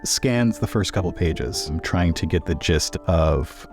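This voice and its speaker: A man's voice: sits low, lively intonation, unhurried. A man playing a tabletop roleplaying game, casually describing what his character does. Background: faint music.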